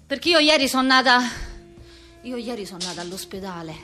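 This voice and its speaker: A woman's voice: fairly high pitch, expressive, brisk, over music, close to an everyday voice. An actress playing a brash, aggrieved Roman street girl in a theatrical monologue, with a thick slangy Roman accent and coarse, repetitive, combative talk. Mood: agitated storytelling.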